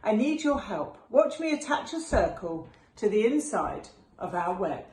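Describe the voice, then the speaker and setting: A patient, gently chiding mother spider from a picture book, acted by a woman. She teaches with animated pitch and stretches her key words, in a slightly echoing room. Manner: instructive, drawn-out emphasis on key words. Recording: roomy echo with faint background noise.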